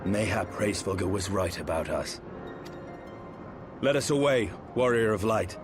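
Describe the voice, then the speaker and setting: An actor playing a brooding, noble knight in a video game, speaking gravely and formally with intensity. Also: male voice, on the low side, measured pace, music in the background, close to an everyday voice. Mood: resolute. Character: brooding, noble male dragon-slaying knight in his prime.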